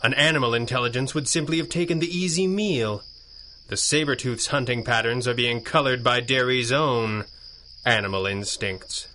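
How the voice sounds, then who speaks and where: An actor playing a confident masked crime-fighting hero with dry wit in a 1930s-style adventure radio drama. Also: male voice, medium pitch, moderate pace, bright, forceful tone, a little hiss or hum, natural voice. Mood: dry, teasing.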